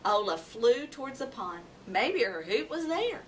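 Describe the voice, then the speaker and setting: A woman's voice: a little high, very animated, a little slow. A woman reading a children's story aloud expressively, acting out animal sounds and voices. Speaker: storybook reader. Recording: noisy, distant microphone.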